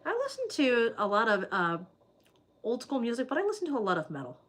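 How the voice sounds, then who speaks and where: A woman's voice: a little high, wildly swooping pitch, quick pace, a bit bright. A woman hosting a casual livestream, chatting loosely with viewers and reading their comments, with plenty of "like" and "um" fillers.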